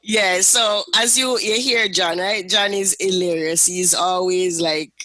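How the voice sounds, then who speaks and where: A woman's voice: fairly low pitch, steady tone, measured pace, sharp tone. A woman hosting a faith podcast interview, chatty and enthusiastic. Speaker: podcast host.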